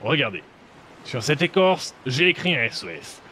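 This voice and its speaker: An actor playing a vain, rum-loving pirate captain in a comic audio drama, blustering and whiny. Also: male voice, medium pitch, very animated, normal speed, pushed and bright, a little hiss or hum. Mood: smug. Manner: blustering, drunken, whiny outbursts. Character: boozy, vain male pirate captain, young adult. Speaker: pirate captain Jack Pigeon.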